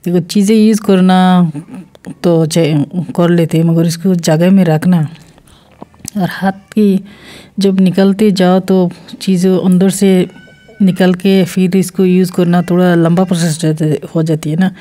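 A woman's voice: fairly low pitch, little pitch movement, measured pace, dark tone. A woman recording a casual home vlog about a product, chatting in a rambling way with many restarts and English words mixed in. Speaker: vlogger reviewing a product. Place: small room at home, indoors.

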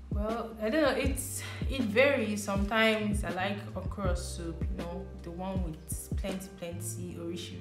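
A young woman in her twenties with a Nigerian accent, chatting casually to camera as she answers questions one by one. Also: mid-range voice. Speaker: vlogger. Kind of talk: casual vlog talk to camera. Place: living room indoors. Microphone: lapel mic. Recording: echoey room with music behind. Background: background music.

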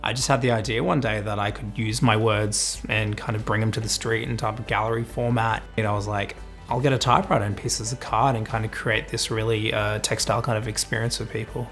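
A man's voice: lowish voice, flat delivery, a little fast, a bit bright, faint music behind. A man sharing his personal story in a reflective, casual way, filmed at home. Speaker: interviewee. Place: indoor living room.

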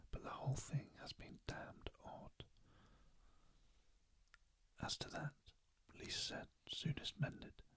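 A reader whispering a dry blunt man, grave tone, slow hushed storybook voice. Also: medium pitch, slightly rough, voice pushed down, full character voice. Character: blunt, grave male secretary. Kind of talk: ASMR audiobook reading.

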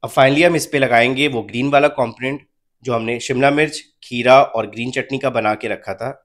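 A young man calmly narrating a home cooking recipe step by step as the channel's host. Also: medium pitch, normal speed. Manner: calm, step-by-step instructional.

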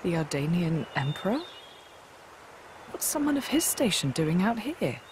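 A young game companion, questioning and curious. The voice is fairly low for a woman and lively in pitch, with faint outdoor ambience. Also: measured pace, slight background noise. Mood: incredulous. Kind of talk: video game cutscene acting.

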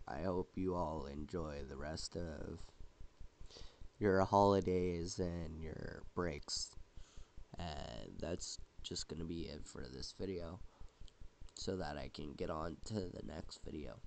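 A young man hosting a casual holiday vlog while sick with a cold, coughing now and then and rambling with halting restarts. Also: low-pitched, creaky, a little mellow, slight background noise. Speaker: channel host.

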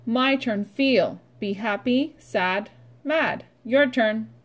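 A middle-aged woman, a preschool teacher, leading a read-aloud vocabulary lesson in an instructional way, pausing for children to repeat. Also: on the high side. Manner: instructional, prompting with pauses for repetition.

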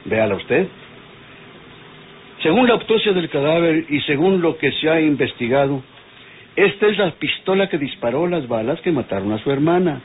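An actor playing a polite, methodical police inspector in an old radio drama, on a tinny, noisy recording. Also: male voice, on the high side, expressive, normal speed, natural voice. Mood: methodical, probing. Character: courteous, probing male police inspector. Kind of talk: old Spanish-language radionovela acting.

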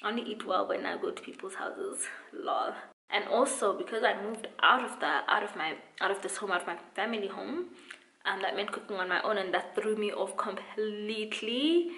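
A woman's voice: mid-range voice, normal speed, pushed and bright. A woman in her twenties chatting casually to camera, playful and emphatic, often repeating words for stress. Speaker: vlogger, dancer and dance instructor. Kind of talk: casual vlog chat to camera. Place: small room at home, indoors. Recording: roomy indoor echo.

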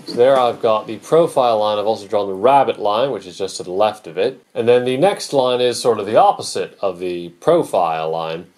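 A man's voice: fairly low pitch, lively intonation, brisk, hardly any pauses, a little mellow, far from the mic. A young man explaining his boatbuilding project to camera in a plastic-sheeted workshop, methodical and dryly humorous. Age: young adult. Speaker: boatbuilding vlogger. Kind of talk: explaining a woodworking build to camera.

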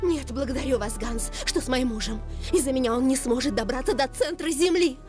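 A woman's voice: high-pitched, a little fast, over music, lightly acted. A woman voicing a graceful, spirited panther princess in a dubbed cartoon, refined and emotive. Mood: worried.

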